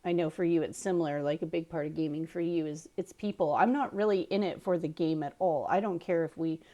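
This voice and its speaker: A woman's voice: low-pitched, steady tone, fairly quick, dark tone. A woman co-hosting a podcast, talking in an informal, conversational way. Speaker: podcast co-host. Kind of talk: casual chat.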